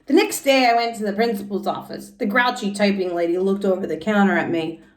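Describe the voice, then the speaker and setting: A woman's voice: medium pitch, a little fast. A woman teacher reading a children's book aloud and commenting on it for young listeners. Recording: echoey room, distant from mic.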